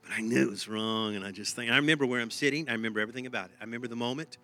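A man's voice: medium pitch, very fast, sharp tone, soft background music. A man with a Texan accent preaching a sermon in an emphatic, conversational way, often repeating his phrases. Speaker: pastor.

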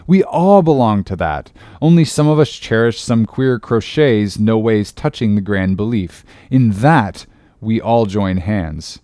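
A man's voice: fairly low pitch, expressive, a little slow, warm tone, no echo, studio quality. A man voicing an earnest, glib young sailor in an audiobook, explaining himself wordily.

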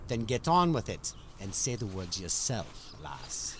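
A man acts a gruff, impatient fellow in a fantasy audio play. His pitch swings dramatically around a medium register. Faint noise sits behind him. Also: sing-song, measured pace. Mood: gruff, challenging.

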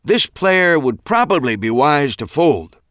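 A man's voice: fairly high pitch, very animated, fairly bright tone, no room sound, studio quality, voice pushed up. A man doing a theatrical stage magician. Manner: dramatic, drawn-out announcing.

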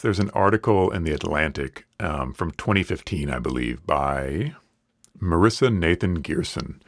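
A man with a very low voice and a cold hoarseness hosts a solo podcast. He talks straight into a close mic in a dry, deadened room. His manner is earnest and reassuring. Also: no room sound. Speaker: podcast host.

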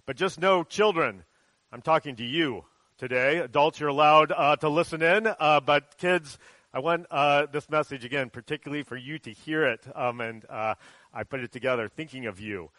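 A male pastor speaks to the children of his church, telling a story in a loose, chatty way full of ums and uhs. His voice sits a little high for a man. The sound is dry and close. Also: moderate pace, sharp tone, no room sound. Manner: casual storytelling, many fillers. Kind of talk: church service sermon to children.

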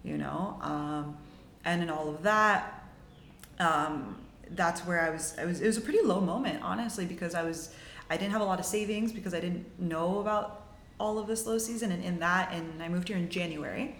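Chatty young woman with a lowish voice. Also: moderate pace, a bit bright, roomy sound, a little hiss or hum. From Mexico. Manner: chatty, upbeat, many "like"s and restarts. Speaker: podcast guest. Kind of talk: casual storytelling.

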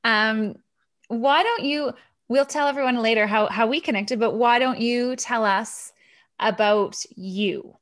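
A woman's voice: on the high side, a little slow, a bit bright. A woman hosting a conversational interview with an upbeat, encouraging manner. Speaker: podcast interview host. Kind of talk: introducing a guest, conversational interview.

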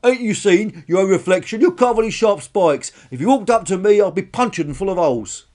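A small, nervous armoured dinosaur from a storybook, played by a man, high and loud. He protests bluntly in colloquial speech, wary of getting hurt. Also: expressive, fairly quick. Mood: alarmed, doubtful.

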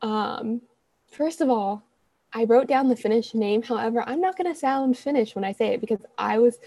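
A woman's voice: on the high side, darkish tone, no room sound. A young woman co-hosting a podcast, talking casually in a rambling way, correcting herself often with lots of fillers. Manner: rambling, self-correcting, chatty with frequent fillers. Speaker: podcast co-host. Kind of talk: casual chat.

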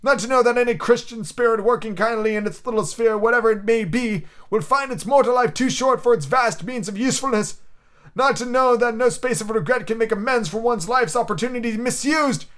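High-pitched wailing old ghost, chained, tormented, slow and loud, storybook reading. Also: male voice. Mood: anguished. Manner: wailing, mournful, drawn-out lament. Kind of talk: audiobook character reading.

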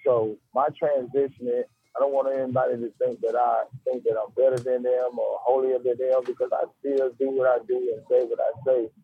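A man giving a casual radio interview as a guest, talking haltingly and peppering his speech with "you know" and "man". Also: medium pitch, little pitch movement, slightly rough. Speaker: guest, rapper and music business man. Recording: tinny phone line.

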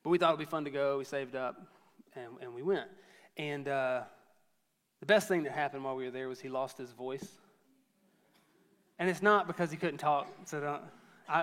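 A man's voice: on the high side, fairly bright tone, long reverb tail. A man in his thirties preaching a sermon to a church, conversational and storytelling with self-deprecating humor. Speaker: pastor.

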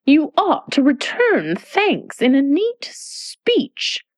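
A bossy old queen who snaps out commands and swings into high, drawn-out calls, as a woman puts her on. Her voice leaps widely, and the recording is dry and close. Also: huge pitch swings, no room sound, heavily acted. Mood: commanding. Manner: decided, commanding. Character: stern, bossy elderly Red Queen.

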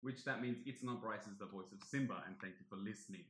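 A man's voice: on the low side, fast, roomy sound, slight background noise. A man in his twenties co-hosting a podcast, chatting casually and joking about pop culture. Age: 20s. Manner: jokey, riffing on pop culture. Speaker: podcast co-host. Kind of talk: casual chat. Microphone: podcast mic.

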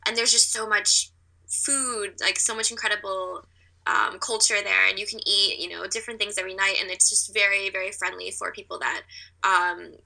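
A young American woman chatting casually as an interview guest, talkative and quick to laugh. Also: medium pitch, vocal fry, bright, forceful tone, low-quality recording. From Virginia, United States. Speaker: interview guest, artist living abroad.

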